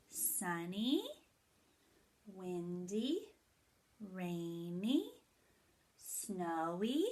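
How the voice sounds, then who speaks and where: A woman's voice: fairly high pitch, creaky. A woman teacher leading a story time lesson for young children, explaining things step by step in simple phrases with key words stressed. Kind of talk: children's lesson, read-aloud and activity instructions.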